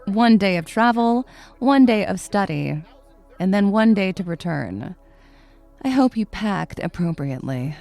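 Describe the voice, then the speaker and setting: A woman's voice: medium pitch, animated, unhurried, gravelly, a little mellow, soft background music. A woman playing a stern, weary science professor, sarcastic and pedantic, in a comedy audio drama. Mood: exasperated.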